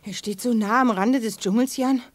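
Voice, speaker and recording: An actress playing an anxious but spirited young space adventurer in a sci-fi radio play, with dramatic delivery. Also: female voice, fairly high pitch, moderate pace, no room sound, natural voice. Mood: uneasy. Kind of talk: German 1980s sci-fi radio play acting.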